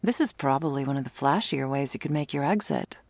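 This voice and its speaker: A sardonic female vampire, played by a woman, murmurs to her prey in a deep voice full of creak. She is wry, possessive and secretly wistful, drifting toward breathy whispers. The ASMR roleplay sound is very close and dry. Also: animated, fairly quick, a little mellow, no room sound, exaggerated voice. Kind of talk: intimate ASMR roleplay, scripted monologue to listener. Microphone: close, dry studio mic.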